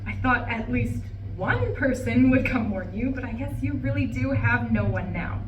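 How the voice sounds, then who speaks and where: A woman's voice: fairly high pitch, expressive, moderate pace, close to an everyday voice. A woman in a live play reading, playing a bitter, refined older divorced wife in a resentful, dramatic monologue. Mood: bitter, pitying. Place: room at a live campus reading. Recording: echoey room, distant mic, faint noise.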